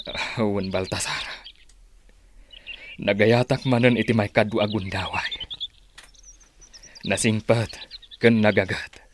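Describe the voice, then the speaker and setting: A man's voice: on the low side, fairly even intonation, takes it slowly, long pauses, slight background noise. An actor playing a concerned male confidant of a village chief in a radio drama. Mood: earnest.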